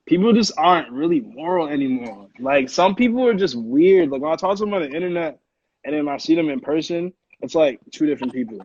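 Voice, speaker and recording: American guy chatting casually, kinda husky, slangy, muffled lo-fi audio. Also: on the high side, animated, a little fast, darkish tone, no echo. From Connecticut, US. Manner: informal, slangy, frequent "like". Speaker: music producer, interview guest. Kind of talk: casual chat. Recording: dull, lo-fi audio.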